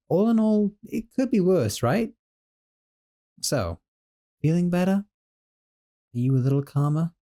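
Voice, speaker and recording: A nervous young sorcerer roommate, played by a man, soft-spoken and kind, stumbling over his words as he soothes the listener. His voice has a mellow warmth and swings freely up and down as he talks. The sound suits an intimate audio roleplay. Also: mid-range voice. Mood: soothing. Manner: hesitant, stammering, soothing.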